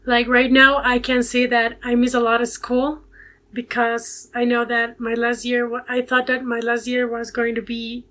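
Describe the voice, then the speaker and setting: A woman's voice: fairly high pitch, monotone, moderate pace, a bit bright. A nineteen-year-old woman from Ecuador, speaking English with a Spanish accent on a video call from home, hesitant and full of fillers as she searches for words. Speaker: guest. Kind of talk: casual video call interview. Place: home bedroom with bookshelves. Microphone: earphone mic on a video call.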